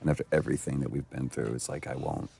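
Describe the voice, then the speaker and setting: Very deep, gravelly man giving commentary. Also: steady tone, fast talker, dark tone. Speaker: actor giving commentary on his game role. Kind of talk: casual commentary.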